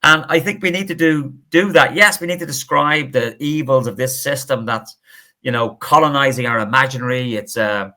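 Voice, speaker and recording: Emphatic Northern Irish man, bright voice. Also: mid-range voice, moderate pace. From Northern Ireland. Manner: animated, emphatic, opinionated. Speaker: guest professor. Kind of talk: conversational interview.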